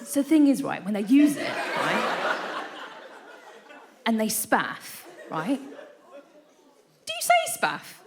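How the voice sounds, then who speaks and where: A woman's voice: fairly high pitch, wildly swooping pitch, slow pace, pauses often. A woman performing live stand-up comedy in a conversational way, often tagging her lines with "right?". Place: on stage in a radio theatre. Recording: live theatre with audience noise. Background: audience.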